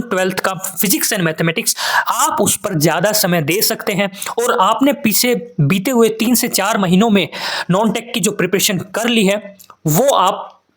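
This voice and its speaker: A man's voice: high voice, normal speed, fairly bright tone. Earnest Indian man coaching students. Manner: earnest, instructive, repetitive listing for emphasis. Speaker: exam preparation coach and channel host. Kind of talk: advice talk to students, mixing Hindi with English.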